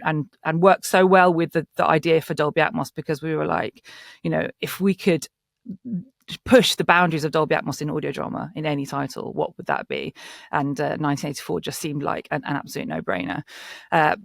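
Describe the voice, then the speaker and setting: A man's voice: fairly high pitch, creaky, dry recording, clean studio sound. A man talking enthusiastically as a producer in a panel interview. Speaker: audio drama producer.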